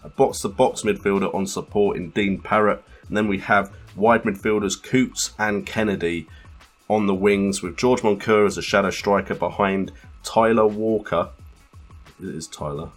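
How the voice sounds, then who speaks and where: A man with a fairly low voice hosts a gaming video. He gives casual, explanatory let's play commentary, with quiet music underneath. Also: normal speed. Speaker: gaming channel host.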